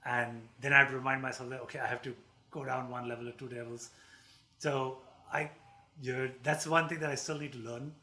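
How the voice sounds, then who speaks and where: A male co-host with a crisp, bright voice at a middling pitch. He talks through long, meandering questions, pausing on fillers as he works out what he wants to ask. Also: steady tone. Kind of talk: conversational livestream interview.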